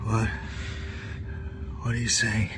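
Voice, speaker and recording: A man's voice: fairly low pitch, monotone, a bit bright, a little hiss or hum. An actor playing a bitter, defiant wounded prisoner, an ailing father, in a radio drama. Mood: confused. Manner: bitter, defiant, slow outbursts.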